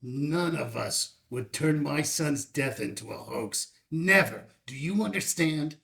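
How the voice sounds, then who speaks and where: An actor at a screenplay table read playing an older, earnest, bereaved small-town father who speaks slowly and deliberately. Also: fairly high pitch, vocal fry, a bit bright, full character voice. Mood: firm, hurt.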